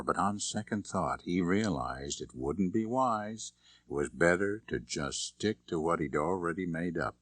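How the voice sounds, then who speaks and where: Deep-voiced male suspense narrator. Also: a bit bright, no room sound. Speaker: audiobook narrator. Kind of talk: dramatic scripted narration of a suspense novel.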